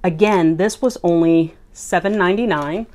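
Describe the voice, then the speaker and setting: A woman's voice: fairly low pitch, moderate pace, dark tone. A middle-aged woman chatting casually to camera from her craft room at home, thinking aloud with fillers as she shows items. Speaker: vlogger showing a haul.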